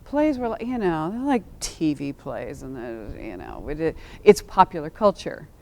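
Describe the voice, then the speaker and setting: A woman with a markedly low voice speaks as a theater scholar. Her delivery is measured and articulate, thoughtfully explaining her subject to listeners. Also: sing-song, creaky, darkish tone. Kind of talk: explanatory discussion and spoken introduction.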